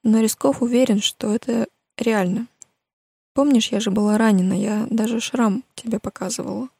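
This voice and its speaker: A woman's voice: on the high side, fairly even intonation, moderate pace, a little mellow, husky, no echo. A woman voicing a reserved, sombre teenage girl in an audiobook, terse and guarded. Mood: guarded, confessing.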